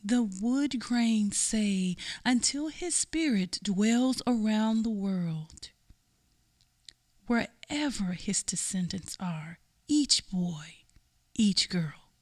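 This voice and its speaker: A woman giving a solemn, measured poetry reading in a radio theater production. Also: mid-range voice, expressive, a little mellow. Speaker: poem reader.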